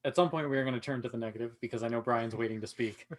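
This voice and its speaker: A man's voice: mid-range voice, fairly even intonation, racing, over a noisy crowd. A man talking casually as a panelist in a fan discussion of a TV series. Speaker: podcast panelist.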